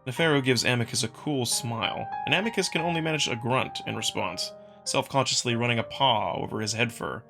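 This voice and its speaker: A man's voice: medium pitch, fairly quick, gravelly, music in the background. A man reading first-person visual novel narration as a scripted storyteller. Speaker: narrator and voice performer.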